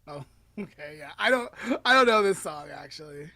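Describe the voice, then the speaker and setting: A woman's voice: deep voice, lively intonation, moderate pace, sharp tone, no room sound. A woman co-hosting a podcast, talking in an informal, chatty way during casual banter. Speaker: podcast co-host. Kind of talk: casual chat and song guessing game.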